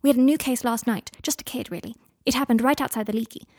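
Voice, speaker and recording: A hurried, excitable young witch rattling off clipped, note-like sentences, as a woman narrator puts her on. She sits a few steps higher and pushes louder than the narration, and the tone stays mellow and dry. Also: no echo. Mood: excited. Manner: rushed, clipped note-taking.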